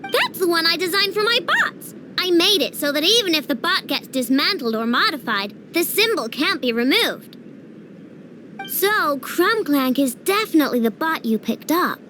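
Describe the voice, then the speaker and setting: A woman voicing an earnest, stubborn young girl inventor in an animated game cutscene, with emphatic, childlike delivery. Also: very high-pitched, normal speed, slight background noise, heavily acted. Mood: earnest explaining. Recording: loud game music behind.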